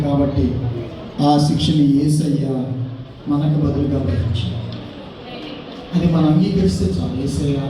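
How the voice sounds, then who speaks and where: High-voiced man preaching in an echoey hall. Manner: exhortative, speaking in short phrases with rhetorical questions. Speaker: children's gospel preacher. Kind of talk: children's sermon and led prayer. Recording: echoey hall, distant mic, noisy crowd.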